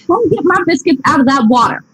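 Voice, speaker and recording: An actress playing a fussy cleaning lady in 1940s radio style, fluttery and quick. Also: sits high, animated, fairly bright tone.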